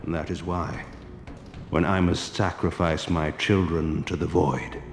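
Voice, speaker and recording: An actor playing an ancient, arrogant vampire lord in a video game, speaking in a theatrical, deliberate, cynical way. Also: male voice, low voice, steady tone. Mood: grandiose, proud. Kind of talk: dramatic video game acting. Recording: echoey hall reverb over music.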